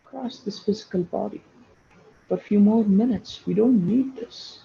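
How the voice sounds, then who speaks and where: A man leading a guided meditation, giving slow, soothing instructions and repeating short phrases for emphasis. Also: high-pitched, vocal fry, warm tone, highs cut off. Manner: repeats phrases for emphasis, addresses listeners as friends.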